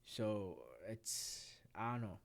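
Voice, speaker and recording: Man co-hosting a podcast, fairly low voice, candid storyteller, casual talk. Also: slow. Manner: storytelling, candid, asks questions, laughs easily.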